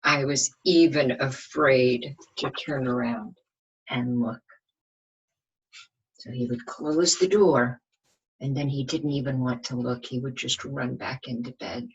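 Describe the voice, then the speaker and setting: Low, husky woman reading to children. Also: steady tone, takes it slowly, lo-fi sound. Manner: asks the listeners questions, dramatic emphasis. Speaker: kindergarten teacher reading a picture book. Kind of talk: expressive read-aloud for young children.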